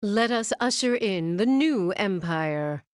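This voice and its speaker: Scornful, proud gem villainess, slow-spoken. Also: female voice, medium pitch, expressive, no echo, clean studio sound. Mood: grandiose. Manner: grandiose, scornful. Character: proud, rebellious female gem villain soldier. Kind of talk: animated game voice acting.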